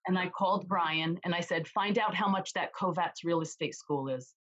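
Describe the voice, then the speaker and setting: A woman real estate agent chatting as an interview guest, with a loud voice, telling stories lively and acting out what others said. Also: on the low side, moderate pace, lo-fi sound. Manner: animated storyteller, acts out quoted voices. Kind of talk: casual interview chat.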